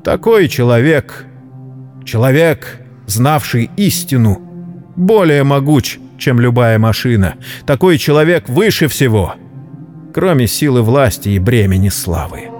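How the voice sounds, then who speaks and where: A man narrating a scripted story dramatically, in a solemn, measured literary manner. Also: mid-range voice, lively intonation, darkish tone. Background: background music.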